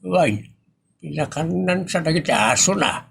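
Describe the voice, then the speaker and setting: A man's voice: fairly high pitch, lively intonation, a little slow, gravelly, fairly bright tone, slightly rough, full character voice. A man playing a grumbling, scornful, indignant husband in a comic village audio drama, with a Filipino Ilocano accent.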